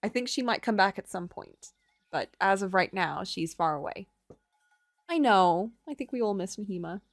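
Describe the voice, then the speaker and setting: A woman's voice: mid-range voice, expressive, moderate pace, dry recording. Woman reading a story over music. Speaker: livestreamer reading a visual novel aloud. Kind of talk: story narration mixed with casual stream commentary.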